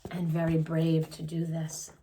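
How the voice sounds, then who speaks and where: A woman's voice: low voice, monotone, measured pace, warm tone, slight background noise, poor audio. A woman reading a children's picture book aloud, phrasing it expressively and adding simple explanatory asides for young listeners. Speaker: children's story reader.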